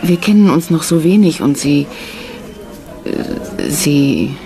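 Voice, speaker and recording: An actress playing a kind, curious young woman of a front theatre troupe in a radio drama, gentle and tentative. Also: low voice, very animated, slow pace, soft background music, heavily acted. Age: young adult.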